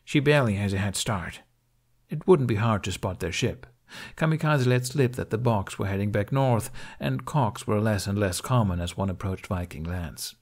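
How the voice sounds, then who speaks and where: A man's voice: on the low side, lively intonation, moderate pace, darkish tone. A man reading scripted fiction as an audiobook narrator.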